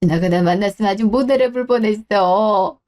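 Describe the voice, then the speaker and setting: A woman's voice: medium pitch, a little fast, a little mellow, lightly acted. A woman playing an elderly, doting mother and grandmother in a radio drama, apologetic, sighing and rambling as she talks. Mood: fond, doting. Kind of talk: Korean radio-drama story acting.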